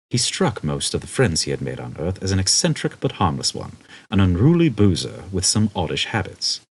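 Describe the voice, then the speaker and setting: Husky low-voiced male audiobook narrator. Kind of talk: expressive scripted narration of a comic novel.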